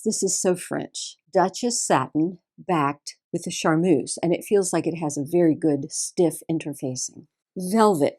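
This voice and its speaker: Woman with a Texas accent, low-ish voice. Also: darkish tone. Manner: admiring, careful, explains details slowly. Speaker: seamstress host. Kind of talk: casual show-and-tell sewing video.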